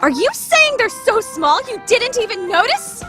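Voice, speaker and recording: A woman's voice: extremely high pitch, sing-song, measured pace, music in the background, full character voice. A woman playing a proud, scheming teenage student council vice president in an anime radio play, formal and theatrical. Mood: flustered, indignant. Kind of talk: anime fan dub radio play acting.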